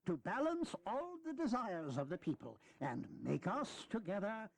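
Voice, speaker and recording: A high-pitched, animated old statesman in a historical audio drama. He is wily and philosophical, swooping through emphatic, deliberate oratory like a seasoned political persuader. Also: creaky, no room sound, full character voice. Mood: persuasive.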